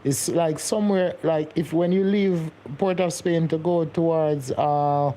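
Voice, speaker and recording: A man's voice: on the high side, fairly even intonation, unhurried, dark tone, poor audio. A young man, hosting a casual live stream and chatting with viewers, rambling and repeating his words as he goes. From Jamaica. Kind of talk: casual live-stream chat reading comments.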